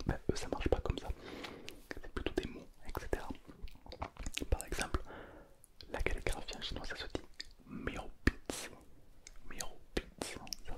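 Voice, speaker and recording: A man, giving a playful whispered ASMR lesson as a language teacher. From southern France. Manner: playful, joking asides.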